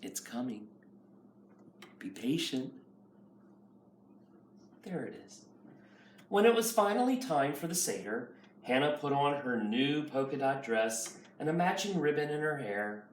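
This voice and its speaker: A man's voice: mid-range voice, expressive, unhurried, pauses often. Man reading a storybook, roomy and distant. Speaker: nursery school teacher. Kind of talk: children's picture book read-aloud. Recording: roomy, far from mic, faint noise.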